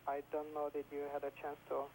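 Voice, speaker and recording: A man's voice: on the high side, monotone. Hungarian man calling in by phone. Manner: earnest, halting. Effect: telephone line. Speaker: phone-in caller. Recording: telephone call on noisy old broadcast tape.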